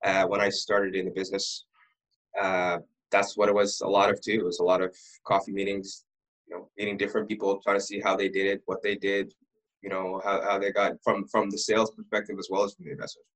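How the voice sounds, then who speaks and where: A man's voice: sits low, monotone, a little fast, a bit bright, poor audio. A man from Ottawa, a real estate broker who is a podcast guest, telling his backstory in a rambling way with many restarts. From Ottawa, Canada. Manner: rambling storyteller who often restarts words. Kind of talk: casual podcast interview.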